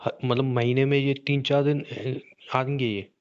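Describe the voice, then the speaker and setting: A man's voice: medium pitch, steady tone, measured pace, smooth, natural voice. A man playing a hot-tempered, suspicious young husband who badgers bluntly with repeated questions in a conversational audio drama. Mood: confused, badgering. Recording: dull, lo-fi call-recording sound.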